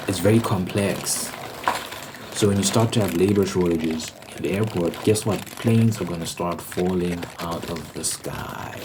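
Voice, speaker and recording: Low-voiced man rambling in ASMR style. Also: very noisy. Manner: explanatory, repeats points for emphasis. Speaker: host of a rambling ASMR channel. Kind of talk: ASMR, unscripted opinionated ramble.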